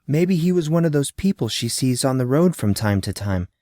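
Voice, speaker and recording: A male audiobook narrator telling a fantasy story with plenty of swing in his voice, rising and falling through the sentences. His pitch sits in an ordinary middle register, and the recording is dry and close, with no room sound. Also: moderate pace, no room sound. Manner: expressive, lively storytelling.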